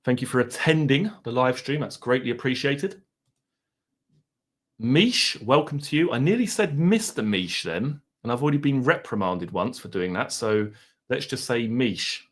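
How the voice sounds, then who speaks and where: A man's voice: medium pitch, animated, moderate pace. A man with an Essex accent hosting a live stream, rambling casually and chatting with his viewers. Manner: mild swearing, laid-back banter.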